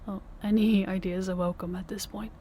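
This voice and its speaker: A woman's voice: medium pitch, flat delivery, moderate pace, slight background noise, lightly acted. A woman playing an exhausted, stammering young girlfriend. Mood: weary tender. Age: young adult. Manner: soft, hesitant, stammering restarts. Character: young woman, worn-out, loving girlfriend in debt. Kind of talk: audio roleplay acting.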